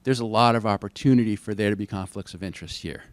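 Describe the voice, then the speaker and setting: A man's voice: fairly low pitch, fairly even intonation, quick pace, dry recording. A man answering questions as a contracting officer at an industry briefing, careful and hedging, with frequent restarts.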